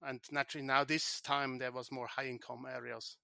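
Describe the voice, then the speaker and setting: A man from Switzerland, an insurance tech founder, answering podcast questions in a halting way with many restarts and repeated words. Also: medium pitch, level tone, gravelly, pushed and bright, no room sound, clean studio sound.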